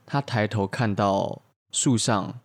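Male co-host, lowish voice, playful ad-libbed storytelling and banter. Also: slow. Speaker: podcast co-host improvising a story.

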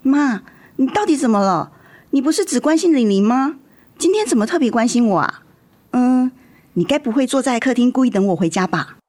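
一位女演员在广播剧中饰演十七岁、爱赌气又叛逆的姐姐。. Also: female voice, fairly high pitch, animated, a little fast, darkish tone. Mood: worried. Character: sulky, defiant seventeen-year-old girl, elder sister. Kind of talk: radio drama acting.

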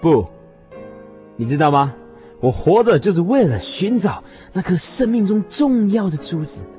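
Radio drama husband, played by a man: earnest and obsessed, highish, very animated delivery, theatrical and yearning, over music. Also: measured pace. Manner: theatrical, swinging from hushed reflection to fervent declaration.

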